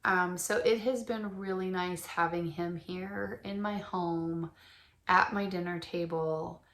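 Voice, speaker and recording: Hoarse middle-aged woman, slow and gravelly. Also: lowish voice, fairly even intonation, echoey room. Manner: gently questioning, unhurried. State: hoarse from shouting. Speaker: podcast co-host. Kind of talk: casual chat.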